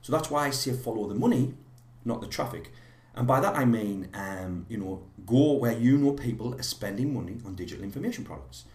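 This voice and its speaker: A man with a slightly hoarse voice from a cold, teaching a live online course in a rambling way with many fillers and restarts. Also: medium pitch, lively intonation, brisk, few pauses, a little mellow, reverberant.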